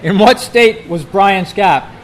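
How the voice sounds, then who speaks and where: A man's voice: high voice, takes it slowly. A man hosting a quiz live at a club meeting, calling out to the members in an amateur recording.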